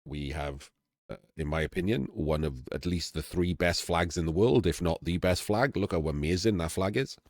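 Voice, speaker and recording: A middle-aged Welsh man with a Welsh accent, talking candidly and casually as a streamer, with fillers and the odd throat clearing. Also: sits low, normal speed, darkish tone, dry recording, clean studio sound. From Wales. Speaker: streamer and channel host. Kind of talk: casual talk to camera-less audience.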